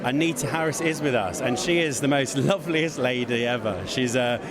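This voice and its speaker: A man's voice: mid-range voice, a little fast. A man being interviewed as an event producer, effusive and a bit hesitant, in casual chat at a busy venue. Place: theatre foyer before an event. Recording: crowd noise at busy venue. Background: crowd chatter.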